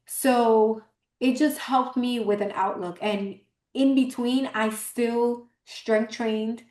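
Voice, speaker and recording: A woman's voice: on the high side, steady tone, slow pace, darkish tone. A woman from Brooklyn, a fitness coach, answering interview questions in an upbeat, enthusiastic way. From Brooklyn, New York. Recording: roomy, echoey room sound.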